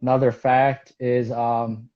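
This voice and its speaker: A man who spoke Vietnamese as his first language, a guest talking casually in an easygoing, halting way with frequent ums. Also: medium pitch, flat delivery, muffled recording. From United States. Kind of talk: casual conversation.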